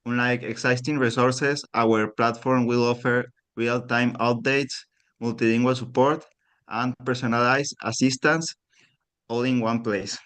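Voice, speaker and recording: A man from Mexico with a Mexican Spanish accent, giving an earnest prepared pitch over a remote call while unwell. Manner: steady, earnest delivery, pausing to ask for the next slide. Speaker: pitch contestant.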